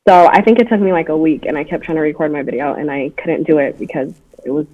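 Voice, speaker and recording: A woman guest on a livestream, telling a personal story softly, with frequent pauses and fillers. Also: sits low. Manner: soft-spoken, halting, many fillers. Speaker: guest, campaign founder. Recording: tinny phone-line audio.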